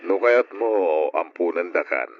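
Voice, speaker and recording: An actor with an Ilocano accent playing a kindly, fatherly older gentleman, solicitous, in old radio drama style. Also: sits low, animated, unhurried, slight background noise. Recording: dull old radio recording.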